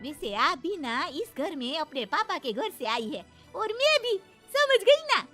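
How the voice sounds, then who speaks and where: A woman playing a cheerful, sharp-tongued young daughter-in-law with a bold, teasing manner in a cartoon story. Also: extremely high pitch, sing-song, measured pace, soft background music, exaggerated voice. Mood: defiant. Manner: bold, sassy, teasing, with tag questions. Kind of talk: animated Hindi moral story acting.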